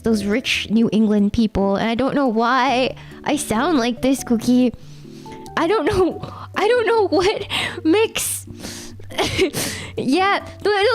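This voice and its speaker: A young Canadian woman with a Canadian accent, streaming and chatting casually, rambling and talking straight to her viewers. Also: high-pitched. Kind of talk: casual chat with chat readers.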